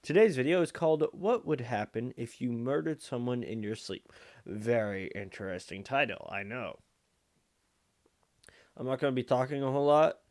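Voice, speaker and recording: A man's voice: medium pitch, animated, normal speed, a little mellow. Young man with a sore tongue, chatty. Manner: chatty, laid-back. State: sore tongue, talking stiffly. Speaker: reaction video host. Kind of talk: casual chat to camera.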